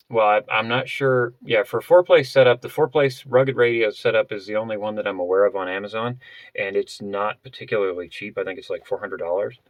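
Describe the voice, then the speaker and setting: A man vlogger explaining gear in a casual, unscripted way, halting and often rephrasing as he goes. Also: on the low side, no echo. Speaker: vlogger presenting a budget gear setup.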